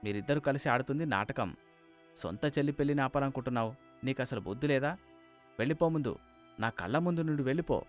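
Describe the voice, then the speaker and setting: Fast, scolding, domineering father acted by a man. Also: mid-range voice, soft background music, close to an everyday voice. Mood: angry. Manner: scolding, stern. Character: angry, domineering father of grown children. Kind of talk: Telugu animated moral-story voice acting.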